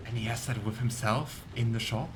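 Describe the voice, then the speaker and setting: Chatty, amused let's play host. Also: male voice, medium pitch, moderate pace, background noise. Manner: chatty, amused, rambling asides. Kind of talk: casual gameplay commentary. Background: game music.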